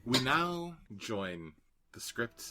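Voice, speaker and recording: A man's voice: medium pitch, very animated, extremely slow, sharp tone, faint music behind. A man narrating screenplay scene directions as a podcast host and reader. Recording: faint background noise.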